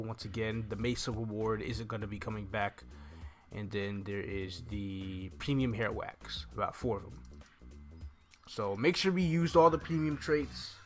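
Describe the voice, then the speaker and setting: A man's voice: on the low side, a bit bright. A young man giving casual, informal walkthrough commentary as a gaming video host, talking through each step into a close microphone. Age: young adult. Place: indoor room at home. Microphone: desk microphone close to the mouth. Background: faint game music.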